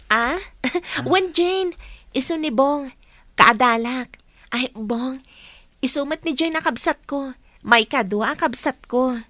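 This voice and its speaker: A woman's voice: high-pitched, slow, sharp tone, dry recording, heavily acted. A woman with a Filipino accent playing a cheerful, dutiful teenage schoolgirl in a radio drama. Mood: cheerful, hospitable. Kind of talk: Ilocano radio drama acting.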